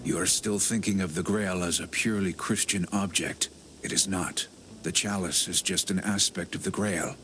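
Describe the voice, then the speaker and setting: A low male voice plays a knowing counsellor in a dark fantasy game. He explains things with measured, firm assurance. Music plays underneath. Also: steady tone. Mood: assured. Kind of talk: scripted video game dialogue.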